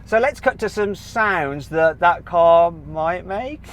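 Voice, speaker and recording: A man with a Midlands accent presenting a car show, talking in an excitable, animated way and laughing in disbelief. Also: high-pitched, slow. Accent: English Midlands. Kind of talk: excitable on-camera commentary.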